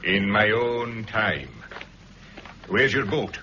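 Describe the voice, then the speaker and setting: An actor playing a sullen, curt armed guard in a 1960s radio drama, muffled old broadcast. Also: male voice, on the low side, drawn-out words, sharp tone, a little hiss or hum, heavily acted. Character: surly male armed island guard.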